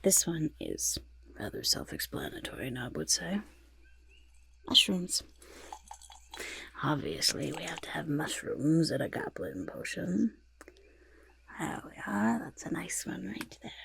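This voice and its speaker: A woman voicing a fussing, delighted goblin potion-maker in a soft-spoken ASMR roleplay, muttering and repeating words in a creaky, breathy half-whisper. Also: low-pitched, big pitch swings, a little slow, pauses often, full character voice. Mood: pleased, delighted.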